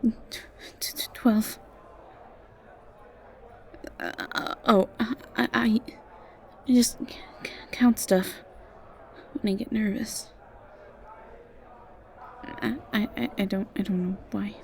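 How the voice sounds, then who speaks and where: A woman's voice: medium pitch, steady tone, slow, creaky, pauses often. A woman playing a shy, sweet, anxious young academy student in an audio roleplay, stammering nervously and apologizing as she goes. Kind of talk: audio roleplay acting, addressed to the listener.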